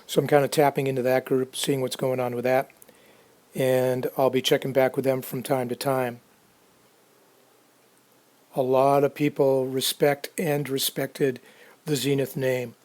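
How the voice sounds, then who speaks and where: Low-key man, dark flat voice. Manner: unhurried, halting, thinking aloud. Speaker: hobbyist narrating a radio restoration video. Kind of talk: casual narrated how-to commentary.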